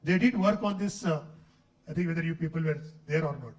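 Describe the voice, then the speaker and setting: A man's voice: a little high, fairly quick. A middle-aged man with an Indian English accent, lecturing a room of faculty with a cold, chatty and full of questions for the audience. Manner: conversational, asks the audience questions, says okay often. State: has a cold, voice not clear. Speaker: guest lecturer. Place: lecture hall. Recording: echoey hall, speaker far from mic.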